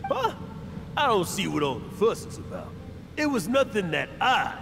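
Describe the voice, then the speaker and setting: A highish, wildly swinging male voice for a video game braggart. He is a pompous, self-styled legendary adventurer who tells every tale theatrically. Loud music plays behind him. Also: big pitch swings, moderate pace, vocal fry, exaggerated voice. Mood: smug.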